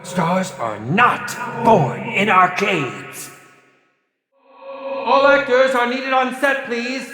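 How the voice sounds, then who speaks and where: A man's voice: very high voice, drawn-out words, full character voice. An actor playing a pompous, cruel horror film director in a video game, theatrical, condescending and sarcastic in his delivery. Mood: impatient, scolding. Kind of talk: video game villain announcer voice acting. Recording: echoey hall reverb over music.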